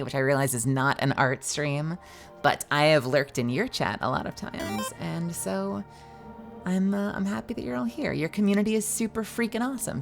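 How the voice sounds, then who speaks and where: A woman's voice: lowish voice, creaky. A young woman streaming games live, chatting playfully with her chat in a slightly scratchy voice.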